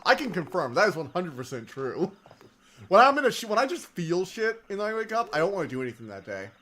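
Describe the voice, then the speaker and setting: A man streaming a video game, chatting excitably and reading the game text aloud, laughing often, with a slightly whiny voice. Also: high-pitched, fast talker, a little hiss or hum. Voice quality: self-described whiny voice. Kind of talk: casual let's-play commentary, reading game text aloud. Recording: game music behind.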